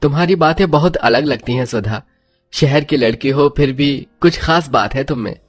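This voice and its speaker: A man playing a sensible young village man in a story, earnest and plain-spoken with a husky voice. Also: fairly high pitch, moderate pace, no room sound, clean studio sound, soft background music, close to an everyday voice.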